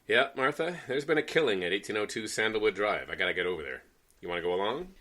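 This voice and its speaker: A man playing a brisk, skeptical, wry newspaper reporter in an old-time style radio play. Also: lowish voice, expressive, sharp tone. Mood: brisk, pointed.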